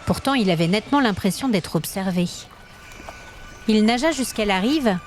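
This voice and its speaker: Female storyteller, mid-range pitch, scripted dramatic narration, fantasy tale, faint music bed. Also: expressive, normal speed.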